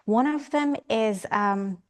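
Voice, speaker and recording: A woman's voice: a little high, slow pace. A woman curator moderating an artist talk, encouraging and organized as she leads into her questions.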